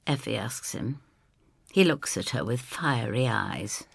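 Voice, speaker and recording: A woman reading a dramatic first-person story in an expressive, theatrical way. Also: a bass voice, slow, gravelly, breathy edge, dry recording, studio quality. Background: music.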